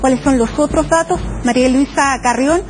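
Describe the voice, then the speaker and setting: A woman from Chile presenting the news in a measured, formal way, introducing a report. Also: high-pitched, rough recording. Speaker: news anchor. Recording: music under the voice.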